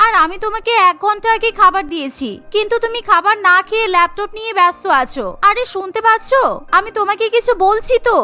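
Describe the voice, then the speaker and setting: A woman's voice: very high-pitched, fast, pushed and bright. A woman voicing a busy, chore-obsessed young housewife in a cartoon, fussy, chatty and insistent. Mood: upset, complaining. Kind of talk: cartoon dialogue.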